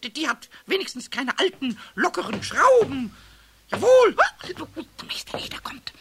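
An actor playing a cheeky, sulky, childlike little male kobold with a squeaky voice and whiny, repetitive speech in a German children's radio play. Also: huge pitch swings, measured pace, background noise, heavily acted. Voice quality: high, squeaky, piercing. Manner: whiny, stammering repetitions, singsong rhyming.

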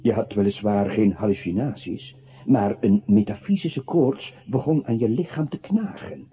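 A scornful, sardonic male narrator belittles a pitiful man in a radio play. The voice is low and extremely raspy, with theatrical delivery. The recording sounds narrow and phone-like. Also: normal speed. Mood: mocking.

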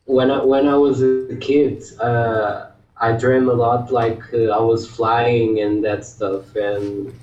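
Man from Portugal, Portuguese his first language, hesitant and searching for words, slow and mellow, on a distant echoey lo-fi video call. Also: medium pitch, flat delivery, soft background music. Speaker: call-in guest. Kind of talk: casual chat.

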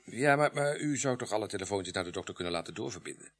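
A man's voice: fairly low pitch, lively intonation, fast, soft background music. An actor playing a cheeky, sociable young policeman in a radio play, bantering in a jaunty way. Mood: sheepish, coaxing. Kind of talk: radio drama dialogue. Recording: radio play, busy crowd behind.

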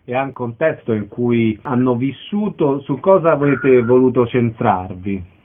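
A man's voice: mid-range voice, animated, a little slow. A man hosting a radio interview, introducing his guest and putting questions. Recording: dull lo-fi radio sound, noisy.

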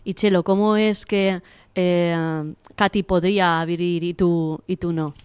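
A woman interviewing for a local radio station and asking brief, soft questions. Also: lowish voice, steady tone, slow pace, smooth, no echo.

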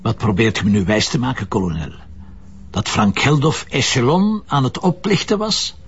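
A man playing a blunt, impatient Flemish police commissioner in a crime drama, gruff and sardonic, with a husky voice. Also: mid-range voice, animated, moderate pace, highs cut off, slight background noise, lightly acted. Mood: sceptical.

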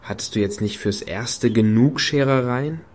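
An actor playing a concerned, skeptical friend in radio play dialogue. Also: male voice, mid-range voice, a little slow, a little mellow, lightly acted. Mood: worried, reproachful.

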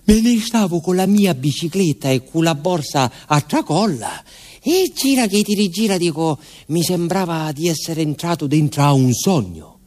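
A man's voice: a little high, big pitch swings, moderate pace, a little mellow. An actor playing a garrulous village postman who recounts his youth in a southern Italian accent, with folksy asides, as a theatrical radio monologue. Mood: wondering, wry. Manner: colloquial, folksy asides and repeated interjections.

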